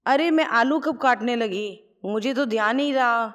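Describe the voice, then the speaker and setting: A woman voicing a gentle, tearful young daughter-in-law, talking softly and apologetically. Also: a little high, normal speed, lo-fi sound, pitched above the performer's own voice, natural voice. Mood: sad. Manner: soft, apologetic.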